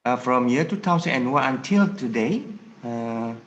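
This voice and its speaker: A man whose first language is Indonesian, speaking halting English with many restarts on a video call. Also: medium pitch, expressive, muffled recording. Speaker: student trader. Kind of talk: casual mentoring call.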